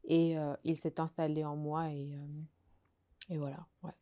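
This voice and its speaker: A young woman from Belgium talks through her life story as a podcast guest. Her voice sits low and mellow, and she pauses often with fillers and restarts her sentences. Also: little pitch movement. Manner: hesitant, many fillers and restarts. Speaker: guest sharing her testimony. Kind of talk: casual conversational testimony.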